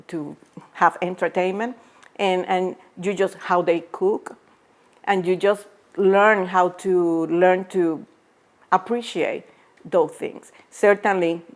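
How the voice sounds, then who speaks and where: A middle-aged woman from Puerto Rico with a Puerto Rican Spanish accent, answering interview questions as an executive, halting with many fillers and restarts. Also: lowish voice. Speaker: pharmaceutical executive guest.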